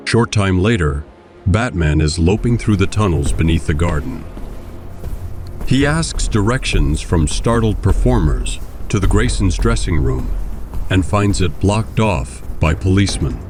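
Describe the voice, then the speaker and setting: A man's voice: deep voice, expressive, measured pace. A man giving scripted narration for an audio drama. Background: music and crowd sounds.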